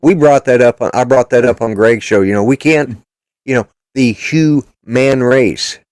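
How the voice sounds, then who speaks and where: A man's voice: medium pitch, unhurried, darkish tone, no room sound, studio quality. An older man hosting a casual political chat show, talking in a blunt, rambling, opinionated way. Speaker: podcast host.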